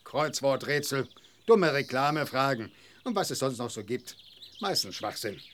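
A man's voice: medium pitch, animated, moderate pace, fairly bright tone, slight background noise, close to an everyday voice. A man playing a grumpy, dry-witted middle-aged father in a children's audio drama. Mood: dismissive.

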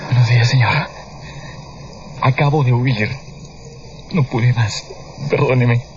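An actor playing a frightened army deserter in a radio drama, pleading politely in broken, breathy phrases. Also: male voice, medium pitch, slow pace, muffled recording, over music, lightly acted. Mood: shaken, pleading.

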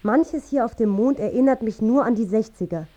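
A woman's voice: a little high, normal speed, warm tone, a little hiss or hum, lightly acted. A woman acting as a reflective narrator in a scripted radio drama.

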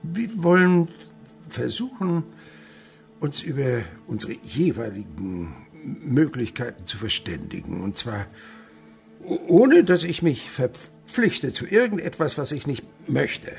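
An actor playing an older miserly, cunning winegrower in a German radio play, stammering evasively. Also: male voice, medium pitch, sing-song, slow, gravelly, darkish tone, faint music behind, heavily acted. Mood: guarded, haggling. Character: miserly, cunning provincial winegrower feigning hesitation.

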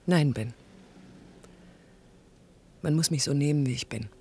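An actress playing a proud, vulnerable yet resolute woman architect in a radio play, speaking in a reflective, deliberate, emphatic way with a breathy voice. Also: deep voice, mellow, full character voice. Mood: wounded, firm. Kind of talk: radio play acting, introspective first-person monologue.

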